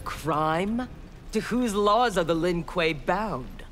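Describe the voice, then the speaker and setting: A woman's voice: fairly low pitch, lively intonation, slow. A woman playing a proud, cold female ninja warrior and engineer in a video game, speaking in curt, threatening lines. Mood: cold disdain. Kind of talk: video game fight intro dialogue. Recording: music behind.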